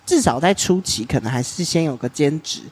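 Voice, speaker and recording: A man's voice: on the high side, animated, fairly quick, husky. A man hosting an interview podcast, chatting casually and giving long, talkative explanations. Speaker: podcast host.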